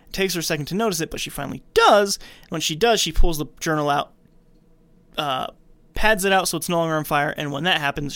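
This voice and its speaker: Man recapping a film, slightly high voice. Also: pushed and bright, dry recording. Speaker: podcast host recapping a film. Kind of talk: casual film recap narration.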